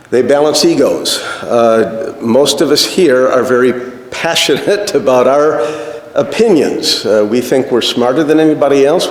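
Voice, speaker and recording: A man giving an informal, off-the-cuff speech at a meeting, joking and often pausing on "uh". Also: mid-range voice. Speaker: speaker at society dinner meeting. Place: indoor dinner meeting room. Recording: echoey hall, faint background noise.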